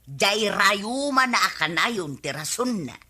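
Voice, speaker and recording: Bright, slow-drawling gossipy Ilocano village woman. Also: medium pitch, big pitch swings, husky, full character voice. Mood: sly gossiping. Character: nosy, scheming gossipy village woman, a comic neighbour. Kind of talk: Ilocano radio comedy drama acting.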